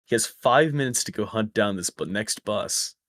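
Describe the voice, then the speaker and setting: A man with a slightly raspy voice, rambling through a story in casual chat over a voice call. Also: fairly low pitch, animated, moderate pace, fairly bright tone, no room sound. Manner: rambling, self-correcting storyteller. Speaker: stream guest. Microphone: close microphone.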